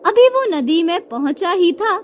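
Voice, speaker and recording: Very high-pitched female storyteller, animated. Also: normal speed, a little hiss or hum. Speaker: narrator. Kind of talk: scripted children's moral story narration. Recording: loud music bed behind voice. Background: music.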